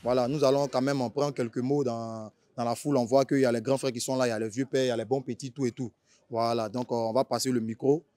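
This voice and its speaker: A male interviewer with an Ivorian accent talks in a mid-pitched voice, recorded dry and close. He is chatty and informal, peppering questions with slang and filler tags as he rewords them. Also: fairly even intonation, fast, mellow, no echo.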